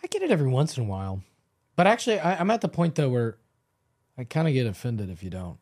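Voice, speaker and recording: Fast, animated young man co-hosting. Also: medium pitch, no room sound, studio quality. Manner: rambling, swearing freely. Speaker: podcast co-host. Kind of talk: casual chat.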